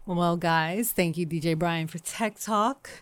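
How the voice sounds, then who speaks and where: Deep-voiced woman podcast co-host, dry. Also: a little slow, no echo. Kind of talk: casual chat.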